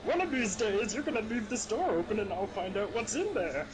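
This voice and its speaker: A man's voice: sits high, sing-song, brisk, voice pushed up. A man reading a cocky, confident seventeen-year-old boy casually in an amateur radio play. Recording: very noisy home voice-chat recording.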